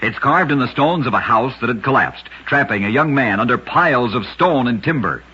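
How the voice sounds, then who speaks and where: A man's voice: medium pitch, lively intonation, brisk, bright, forceful tone, a little hiss or hum. A man with an American accent reads a scripted vintage radio narration as host, with a dramatic storyteller delivery. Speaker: radio host and narrator of short true oddities. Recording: dull lo-fi vintage radio recording.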